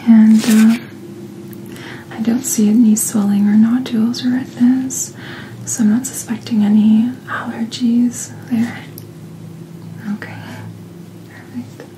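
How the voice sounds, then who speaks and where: A woman speaking in a soft, breathy whisper, gently and reassuringly playing a doctor in an ASMR roleplay, close to the microphone. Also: a little high, little pitch movement, slow pace, long pauses, slight background noise, poor audio. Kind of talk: soft-spoken ASMR medical checkup roleplay.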